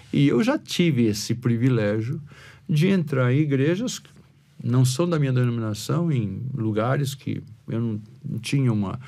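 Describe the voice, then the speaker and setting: A man's voice: mid-range voice, warm tone. A Brazilian man, a pastor and podcast guest, telling stories in a rambling way with many restarts. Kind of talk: casual podcast conversation.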